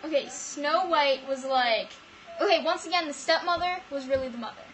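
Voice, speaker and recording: A young woman casually retelling fairy tales in a comic, animated and slangy way, as a co-host of an amateur video. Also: sits high, normal speed, slight background noise, poor audio. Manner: animated slangy storytelling with mock quotes. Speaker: vlog co-host.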